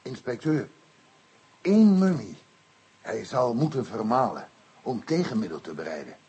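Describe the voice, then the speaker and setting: An actor playing an earnest African interpreter in a radio play, speaking solemnly in halting, broken Dutch with a husky voice. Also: male voice, mid-range voice, sing-song, dark tone, heavily acted.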